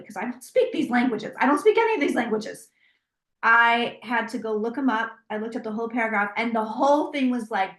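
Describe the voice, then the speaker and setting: A woman who reads tarot, telling stories in an animated, conversational way with frequent restarts. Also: on the high side, roomy sound. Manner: animated storytelling with many restarts. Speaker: psychic tarot reader. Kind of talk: interview conversation.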